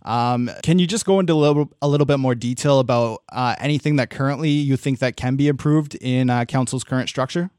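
A man's voice: medium pitch, fairly quick, hardly any pauses, a little mellow, smooth, dry recording, studio quality. A man hosting a radio interview, asking questions with hesitant uh fillers and repeated words.

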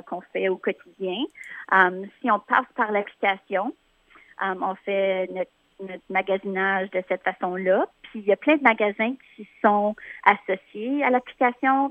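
A woman's voice: medium pitch, dry recording. A woman in her thirties from Northern Ontario with a Franco-Ontarian French accent, chatting casually as an interview guest and explaining things conversationally. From Northern Ontario, Canada. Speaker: interview guest, community organizer. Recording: muffled, thin phone line.

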